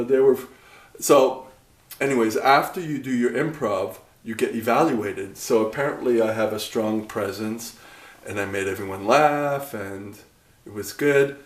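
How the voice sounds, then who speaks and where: A man in his thirties talking casually to a camera at home in a dark room, chatty and rambling, often cutting himself off mid-sentence. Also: medium pitch, reverberant. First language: English. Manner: rambling, chatty, self-interrupting. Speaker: vlogger.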